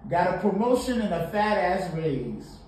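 Guy from haiti breaking down a rap verse, kinda high voice, lots of "you know", echoey little studio. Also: a little slow. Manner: animated, preachy, sprinkled with laughs and "you know". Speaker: music reaction host. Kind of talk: casual song reaction commentary. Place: small home studio room. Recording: roomy home studio with faint noise.

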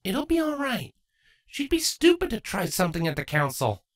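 A woman plays a young changeling daughter from a fantasy storybook. She is bookish, caring and a little playful, speaking casually and earnestly with very animated pitch. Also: moderate pace. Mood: concerned. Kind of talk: acted fan fiction audiobook dialogue.

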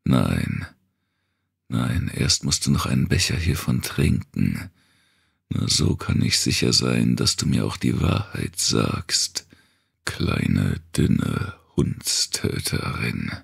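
A man voicing a menacing male captor in an audiobook, taunting in a rough whisper. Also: a bass voice, lively intonation, slow pace, full character voice. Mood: taunting threat. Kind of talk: audiobook character dialogue.

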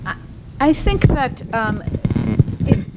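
Thoughtful woman panelist, slightly high voice. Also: sing-song, very noisy. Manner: thoughtful, halting with restarts. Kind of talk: academic panel answer. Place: hotel conference room.

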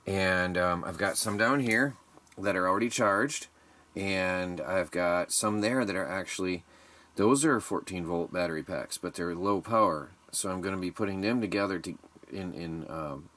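A man with a fairly low voice talks his way around a yard and workshop, plain-spoken and rambling. He sounds worn out from the day's work, picked up by the camera's own mic. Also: moderate pace, a bit bright. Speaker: homestead DIY vlogger. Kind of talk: casual walk-through narration. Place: outdoors in a yard, then a workshop.

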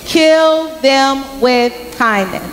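A young woman giving a youth message at church, telling a story with lively acted-out voices into a handheld mic. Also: sits high, extremely slow, soft background music. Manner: animated storytelling, acting out quoted voices. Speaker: youth speaker. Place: large church sanctuary with congregation. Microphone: handheld microphone. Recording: echoey sanctuary from a far camera.

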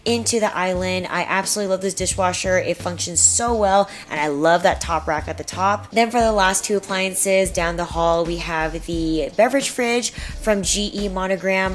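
A young woman vlogger giving an upbeat, chatty tour of her remodeled kitchen, talking straight to viewers. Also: lowish voice. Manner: upbeat, chatty, addresses viewers as "you guys". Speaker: home and lifestyle vlogger. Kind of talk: casual home tour with sponsored segment. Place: home kitchen, indoors.

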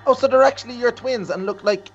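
A performer playing a cocky, excitable young sibling in a children's game roleplay, in a dramatic, repetitive way. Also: mid-range voice, quick pace, close to an everyday voice. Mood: puzzled. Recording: dull lo-fi voice, music behind.

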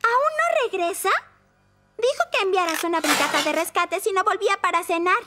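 A woman's voice: extremely high pitch, expressive, normal speed, music in the background. A woman with a Latin American Spanish accent voicing an outspoken young girl in a children's cartoon dub. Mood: pleading. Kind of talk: animated children's series dub.

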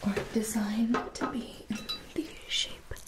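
A young woman whispering gentle instructions in an ASMR spa roleplay, speaking slowly and softly to the listener. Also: on the high side, fairly even intonation. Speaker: ASMR creator. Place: indoors, small room with a floral backdrop.

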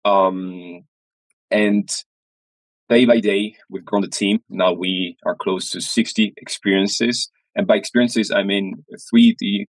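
Man, young adult, podcast guest, hesitant, very deep, dry sound. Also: fairly even intonation, slow pace, no room sound, rough recording. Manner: hesitant, many uh fillers and repeats. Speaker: guest, studio founder. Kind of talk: casual interview. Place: small room indoors, video call. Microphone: headset.

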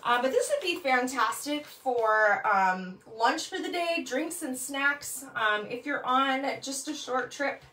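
Chatty high-voiced woman in echoey room. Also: animated, slow, few pauses, pushed and bright, distant mic, slight background noise. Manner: chatty, upbeat, lots of ums. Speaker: bag consultant. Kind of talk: product demo vlog. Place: small room indoors. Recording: echoey room, mic set back.

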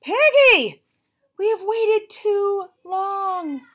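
A woman voicing an impatient, melodramatic young male elephant in a storybook, whining and wailing with drawn-out words. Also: very high voice, animated, a bit bright, voice pushed up, full character voice. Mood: despairing. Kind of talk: storybook read-aloud character.

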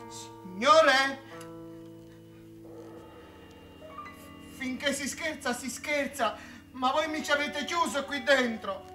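Timid, heavyset male sexton, very high-pitched, flustered and stammering, vintage TV comedy-drama. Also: fairly bright tone, heavily acted. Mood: anxious, pleading. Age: middle-aged. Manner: stammering, nervous. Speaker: actor in a TV serial. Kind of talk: 1960s Italian television comedy-drama acting. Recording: vintage 1960s television soundtrack.

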